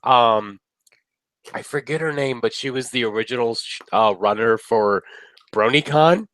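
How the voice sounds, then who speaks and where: A man's voice: mid-range voice, measured pace, fairly bright tone, no echo. Man with a cold, nasal and laid-back, dry close webcam sound. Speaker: co-host of a review video. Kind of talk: casual banter. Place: indoor room.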